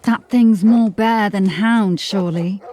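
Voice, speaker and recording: An actress playing a dry, sardonic city watch officer in a fantasy audio drama, wry and knowing. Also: female voice, mid-range voice, slow pace, mellow, slight background noise, natural voice. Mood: wary.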